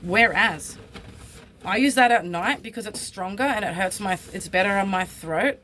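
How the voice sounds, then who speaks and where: Woman, husky voice, blunt frustrated vlog talk, faint background noise. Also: medium pitch, moderate pace, fairly bright tone. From Australia. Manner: blunt, frustrated, swears freely. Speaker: vlogger. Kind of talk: candid personal vlog.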